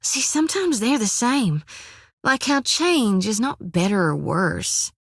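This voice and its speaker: An actress voicing a folksy, earnest young priestess of a death god in a video game, with a drawl, rambling and plainspoken. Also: female voice, on the high side, very animated, measured pace, slightly rough, no echo, clean studio sound, heavily acted.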